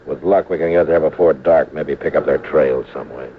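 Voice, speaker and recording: An American actor playing a laconic, gruff frontier marshal in a radio western, deadpan and terse. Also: male voice, extremely low pitch, moderate pace. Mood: dry, unhurried. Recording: tinny, noisy old radio recording.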